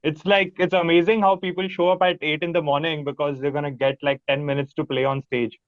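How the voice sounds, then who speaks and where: A man's voice: a little high, little pitch movement, a little fast, dry recording. A man with an Indian accent, a musician being interviewed, chatting casually in a rambling, enthusiastic, informal way. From Mumbai, India. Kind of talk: casual chat. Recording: thin phone-like sound, fairly dry.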